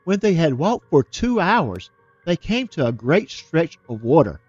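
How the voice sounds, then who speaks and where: Male storyteller reading a fairy tale, expressive, with a few slips; a fairly high voice on a close, dry mic, dull lo-fi sound, faint music underneath. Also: unhurried, slightly rough, no echo. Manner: expressive, with small slips and restarts.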